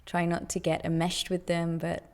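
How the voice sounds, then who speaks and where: A woman leading a guided meditation with gentle, deliberate instruction in long, unhurried phrases. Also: fairly low pitch, completely flat delivery.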